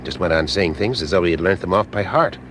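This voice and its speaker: An actor playing a wry, observant private eye in a radio mystery, with a husky voice and an informal way of talking. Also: male voice, deep voice, fast, noisy background, close to an everyday voice. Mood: brisk, deducing. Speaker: lead actor and narrator in a detective audio drama. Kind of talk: first-person detective narration and dialogue.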